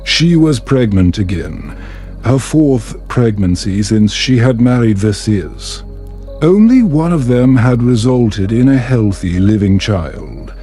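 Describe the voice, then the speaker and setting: A man reading a scripted bedtime story as a narrator, in a calm, measured, soothing way. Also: mid-range voice, faint music behind.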